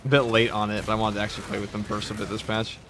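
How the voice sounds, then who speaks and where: Low-voiced streamer reading chat, noisy. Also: male voice, very fast. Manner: relaxed, reads viewer messages aloud and riffs on them. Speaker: streamer host. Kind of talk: casual livestream chat, reading chat. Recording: background noise under voice.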